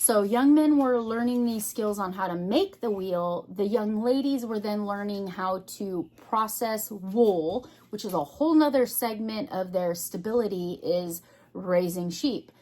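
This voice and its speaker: A woman giving an unscripted, casual hobby vlog, thinking aloud in short, halting phrases. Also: medium pitch, mellow, low-quality recording. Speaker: craft vlogger.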